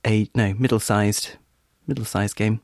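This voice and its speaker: A man's voice: lowish voice, fairly even intonation, measured pace, vocal fry, darkish tone, no room sound, studio quality. A man co-hosting a podcast with casual, conversational banter. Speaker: podcast co-host.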